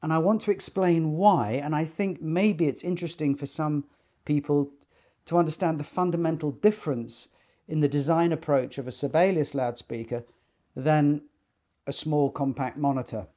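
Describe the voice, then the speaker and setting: A man in his sixties giving an informal explanatory talk to camera, speaking in measured, deliberate phrases with pauses. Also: fairly high pitch, steady tone, warm tone. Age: 60s. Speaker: loudspeaker designer and company technical director. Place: small attic listening room indoors.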